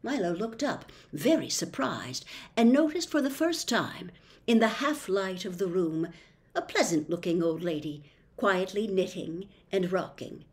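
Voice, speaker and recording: A woman's voice: mid-range voice, expressive, a little slow, a little mellow. A woman reading a storybook aloud as narrator. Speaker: audiobook reader, host.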